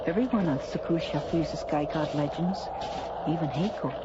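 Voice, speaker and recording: A woman's voice: deep voice, normal speed, natural voice. An actress playing a poised, serious noblewoman with a formal, earnest manner in a radio drama. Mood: grave. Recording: dull, very noisy radio drama recording.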